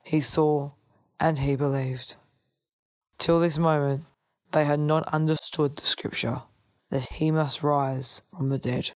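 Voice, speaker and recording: A woman's voice: low-pitched, steady tone, takes it slowly, darkish tone, dry recording. A woman reading a Gospel passage aloud in a formal scripture-reading style. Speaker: scripture reader.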